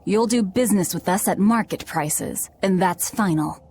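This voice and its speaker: A woman's voice: mid-range voice, normal speed, a little mellow, a little hiss or hum, natural voice. A woman playing a cynical, bossy young female demon in a video game, curt and sardonic. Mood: stern, sardonic. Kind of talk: video game cutscene acting.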